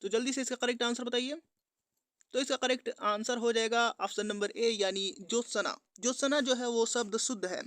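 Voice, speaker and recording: A man's voice: very high-pitched, fairly even intonation, a little fast, fairly bright tone, no echo. A man teaching an exam-prep lesson, methodically reading out quiz questions and giving the answers in a repetitive instructional pattern.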